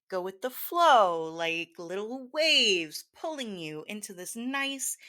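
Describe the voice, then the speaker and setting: Bright, ditzy female hypnosis teacher, acted by a woman. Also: mid-range voice, heavily acted. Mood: soft, soothing. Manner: scattered, hesitant, girlish filler-laden talk with gasps and giggles. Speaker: hypnosis performer playing a teacher. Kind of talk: soft scripted hypnosis roleplay in casual teacher talk.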